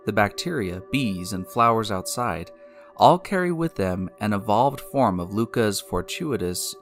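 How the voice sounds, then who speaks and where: A man hosting and narrating a scripted science story in a deliberate, explanatory way, speaking directly to the listener. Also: fairly low pitch. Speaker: podcast host and narrator. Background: music.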